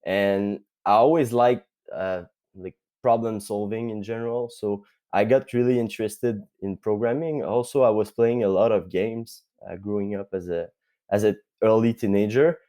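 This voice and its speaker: Man, Quebec French accent, low pitch, warm mellow tone, thoughtful interview guest. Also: steady tone. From Quebec, Canada. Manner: thoughtful, many filler pauses. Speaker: guest, tech company CTO. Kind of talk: podcast interview.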